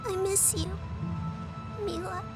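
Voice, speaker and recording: Very high, blunt little kid. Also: female voice, little pitch movement, slow, over loud music, full character voice. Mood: wistful. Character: small blunt, earnest child. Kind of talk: video game voice acting.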